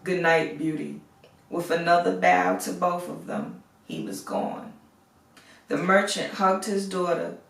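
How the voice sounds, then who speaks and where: A woman storyteller narrates a fairy tale at an unhurried pace, with an expressive delivery. Her voice has a crackly edge of fry, and it sounds roomy, picked up some way from the microphone. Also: lowish voice. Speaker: storyteller reading aloud. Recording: roomy echo, distant microphone.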